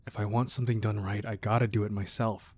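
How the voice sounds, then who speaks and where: A man acting a gruff but tender, protective crime boss husband in an intimate, soft-spoken ASMR romance roleplay. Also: on the low side, little pitch movement, quick pace. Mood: weary, determined. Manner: soft, intimate, affectionate murmur.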